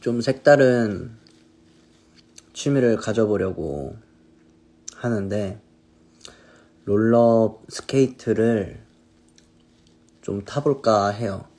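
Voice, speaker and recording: A man in his thirties chatting casually on a phone live stream, answering viewers' questions in a relaxed, easygoing way. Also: on the low side, very slow, pauses often, slightly rough. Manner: relaxed, answers viewers' questions, drifts between snacks and hobbies. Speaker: singer hosting a live stream. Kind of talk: casual live-stream chat reading comments.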